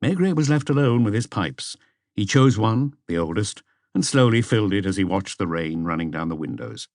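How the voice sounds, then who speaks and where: A man's voice: fairly low pitch, lively intonation, moderate pace, a little mellow, dry recording, studio quality. A man reading a detective novel aloud as scripted audiobook narration.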